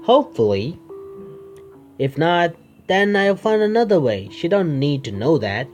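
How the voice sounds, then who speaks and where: A man doing a game playthrough, reading the narration aloud haltingly and adding his own asides. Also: a little high, very animated, a little mellow. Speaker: gaming video creator. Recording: music behind the voice.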